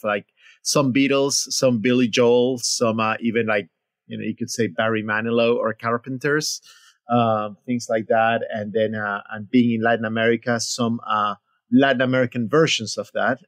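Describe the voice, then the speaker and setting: A man in his forties from Argentina, a podcast guest who talks haltingly with many fillers and restarts. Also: medium pitch, a bit bright, no room sound. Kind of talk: interview conversation.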